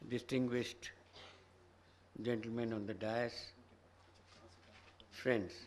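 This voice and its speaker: An older man with a slightly hoarse voice, giving a measured, careful address as a senior guest at a conference. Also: medium pitch, steady tone, darkish tone, a little hiss or hum. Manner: slow, measured, choosing words carefully. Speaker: chief guest, professor emeritus of neurosurgery. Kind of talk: formal address. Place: conference hall.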